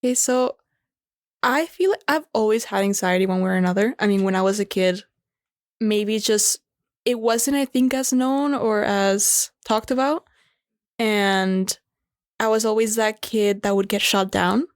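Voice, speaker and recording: A young woman with a light Venezuelan Spanish accent speaks as an interview guest in a medium-pitched voice. She talks openly and casually and scatters "like" through her sentences. The sound is dry and close, with almost no room echo. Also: a little slow. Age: young adult. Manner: chatty, heavy use of "like" fillers.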